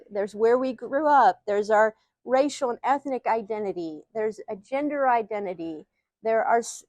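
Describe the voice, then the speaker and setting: A woman's voice: on the high side, dry recording. A middle-aged woman from Ohio with a Midwestern accent, teaching an audience earnestly and often posing rhetorical questions. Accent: Ohio Midwestern American. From Ohio, USA. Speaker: speaker, psychologist. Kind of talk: live teaching talk to an audience.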